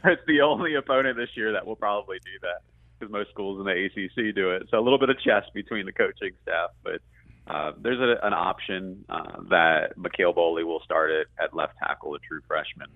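Male sports radio guest, deep voice, conversational and chatty, thin band-limited call sound with little room. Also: fairly quick, no room sound. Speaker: radio play-by-play announcer, guest. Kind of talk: sports interview, conversational analysis. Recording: muffled, band-limited phone-like line.

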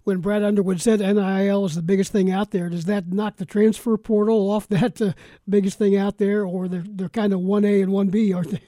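A man's voice: sits high, little pitch movement, fairly quick, few pauses, dark tone, no room sound, clean studio sound. An American man hosting a live sports talk show on radio in a conversational style. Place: radio studio.

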